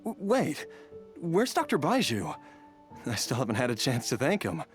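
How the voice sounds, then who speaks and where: A man's voice: on the high side, wildly swooping pitch, moderate pace, soft background music. A grateful recovering father. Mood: relieved. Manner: relieved, gentle and thankful. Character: recovering middle-aged male father, grateful and mild.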